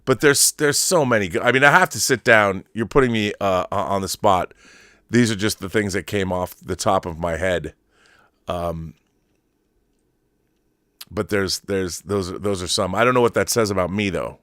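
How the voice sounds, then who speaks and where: A man's voice: low-pitched, normal speed, a bit bright, dry recording, clean studio sound. A middle-aged man hosting a fandom livestream with casual, enthusiastic commentary, rambling and repeating words as he thinks. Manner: enthusiastic, opinionated fan. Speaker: host of a film fandom livestream. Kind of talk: casual commentary, answering viewer messages.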